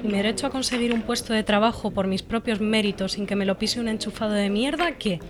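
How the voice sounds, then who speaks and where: Actress voicing a blunt young psychologist, sarcastic, steady middle pitch, busy crowd behind. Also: female voice, fast talker, lightly acted. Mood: indignant. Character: frustrated young female job-seeking psychologist, blunt. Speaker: unemployed psychologist. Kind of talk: scripted dialogue.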